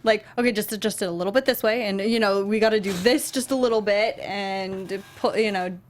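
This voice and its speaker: A woman's voice: mid-range voice, steady tone, brisk, slight background noise. A woman co-hosting a casual review chat, informal and agreeable in the way she talks. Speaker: talk show co-host.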